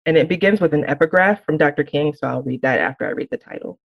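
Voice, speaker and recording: A woman poet from Alabama with no Southern accent, reading her poem slowly and deliberately, then answering questions in a frank, reflective way. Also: deep voice, vocal fry, highs cut off. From Birmingham, Alabama. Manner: measured, deliberate reading with slow emphatic phrasing; reflective, frank conversation with light laughs. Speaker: poet and guest. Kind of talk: poetry reading and interview answers.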